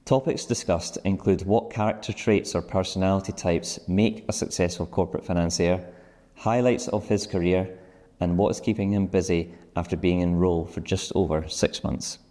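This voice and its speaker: A man with a very deep voice hosts the interview, introducing his guest and asking friendly, encouraging questions. His voice is dark in tone. A long reverb trails behind his words. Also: steady tone, normal speed. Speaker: podcast host. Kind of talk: podcast interview hosting.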